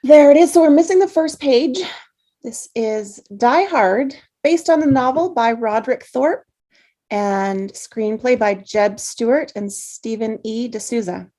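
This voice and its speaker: A woman narrating the stage directions in a table read, with steady, measured script reading. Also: a little high. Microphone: video call.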